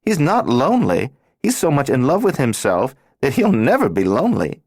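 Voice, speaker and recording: A man voicing a brash, blunt twelve-year-old girl who talks in loud, emphatic bursts. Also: mid-range voice, wildly swooping pitch, normal speed, husky, dry recording, clean studio sound, pitched above the performer's own voice, heavily acted. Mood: dismissive.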